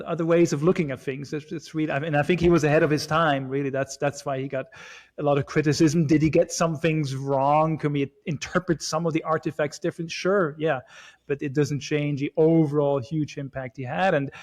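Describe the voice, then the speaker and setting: A man in his forties from Switzerland, chatting as a guest, talking haltingly with many restarts and fillers. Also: fairly high pitch, a little mellow. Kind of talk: casual chat.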